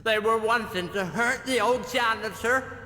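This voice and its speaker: A man's voice: sits high, measured pace, sharp tone. A man voicing a timid old janitor creature in a video game, pleading in simple broken grammar. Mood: meek, trusting. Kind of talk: video game character acting. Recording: echoey reverberant cave sound, noisy ambience.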